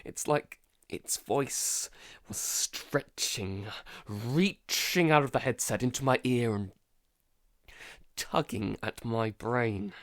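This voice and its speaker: A man playing a paranoid, isolated man in an audio drama, halting and stammering, often sighing and dropping into whispers. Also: mid-range voice, no room sound, studio quality, lightly acted. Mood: haunted, shaken. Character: paranoid isolated man unravelling under a creeping unseen menace. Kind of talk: audio drama monologue, fragmented confessional.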